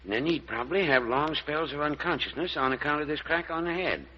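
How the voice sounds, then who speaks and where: A man playing a blustery, kindly country doctor with a husky voice and rustic, exclaiming delivery in an old-time radio serial. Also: fairly high pitch, animated, brisk. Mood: grave, concerned. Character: folksy, blustery male country doctor, gruff but kindly. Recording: dull lo-fi old radio recording.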